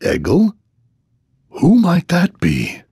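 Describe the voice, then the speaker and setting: Fatherly game innkeeper, very husky, low voice, gentle, swinging intonation. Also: huge pitch swings, slow pace, dry recording, clean studio sound, full character voice. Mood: puzzled, evasive. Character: kindly middle-aged male innkeeper, fatherly and solicitous. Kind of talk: video game voice acting.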